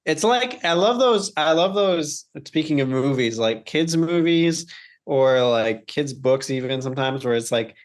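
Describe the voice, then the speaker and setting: A man's voice: on the high side, lively intonation, measured pace. A man talking casually as a podcast co-host, earnest and informal, often repeating words as he goes. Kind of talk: casual conversational talk.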